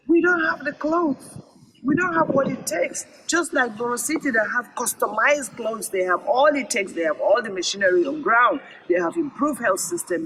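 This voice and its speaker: A woman's voice: a little high, creaky, distant mic. A woman telling a story aloud to children in a dramatic, emphatic way, repeating phrases for effect. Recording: noisy recording with loud background noise.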